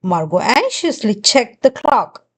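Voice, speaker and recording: A woman reading a children's storybook aloud in an expressive narrating style. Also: on the high side, a little slow, slightly rough.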